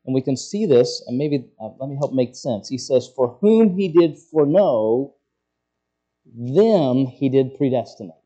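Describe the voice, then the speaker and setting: Very dark-voiced male preacher, teaching scripture. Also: medium pitch, lively intonation. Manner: halting, searching for words aloud. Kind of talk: expository sermon, teaching scripture verse by verse.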